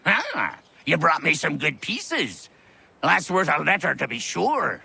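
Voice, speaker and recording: Creaky, crotchety old sailor. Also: male voice, a little high, big pitch swings, slow pace, sharp tone, heavily acted. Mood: teasing, bartering. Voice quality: raspy, creaky. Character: crotchety old sailor hoarding trinkets and pirate letters, mocking. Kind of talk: video game character acting.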